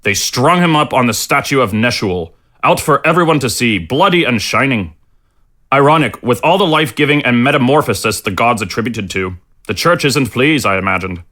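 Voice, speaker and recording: Man playing a feisty innkeeper, animated and bright. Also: medium pitch, moderate pace. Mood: grim. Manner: blustery, colloquial. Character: Artag, feisty proud innkeeper, stubborn. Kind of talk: scripted audio drama acting.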